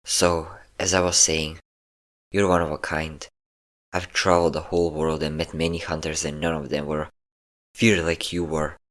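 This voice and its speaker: Man playing a deep-voiced, teasing male vampire. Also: flat delivery, a bit bright. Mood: smug, taunting. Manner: taunting, flirtatious, slow endearments. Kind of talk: intimate roleplay audio acting.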